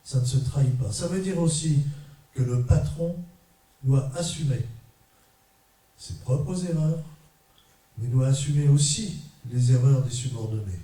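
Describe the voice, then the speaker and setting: A middle-aged man from France giving a conference talk to an audience as a trade unionist, measured and emphatic, in short repeated phrases. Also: medium pitch, mellow. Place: large echoey parish hall. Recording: echoey hall, mic far off.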